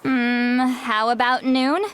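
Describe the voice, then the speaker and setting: A woman voicing a haughty, easily flustered shaman in a video game, with a huffy, excitable manner. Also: on the high side, takes it slowly, slight background noise. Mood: flustered, aloof. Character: centuries-old but youthful-sounding shaman woman, haughty, easily flustered.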